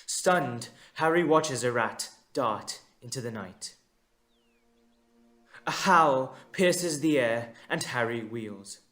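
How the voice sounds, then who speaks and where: A man narrating a scripted audio drama in a measured, suspenseful manner, with deliberate dramatic pauses. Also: medium pitch, expressive, fairly bright tone.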